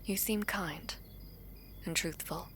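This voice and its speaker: A woman's voice: fairly low pitch, takes it slowly, a bit bright, slight background noise. A woman playing a guarded, protective royal in an audio drama, with a grave, stately and formal delivery. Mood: wary, probing. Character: guarded, regal imperial sibling, protective of a younger brother.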